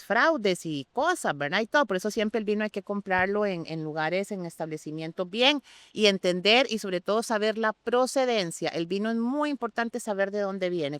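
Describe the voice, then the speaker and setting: An expressive woman speaks as an interview guest. Her voice is smooth and clean, recorded close and dry. She explains things with open enthusiasm, in a conversational way. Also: medium pitch, dry recording. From Costa Rica. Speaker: guest sommelier.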